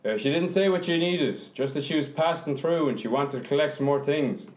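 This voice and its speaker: An Irish man playing a mild, gently disapproving character in casual radio drama dialogue. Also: a little high, a little fast, lightly acted. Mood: mild, resigned.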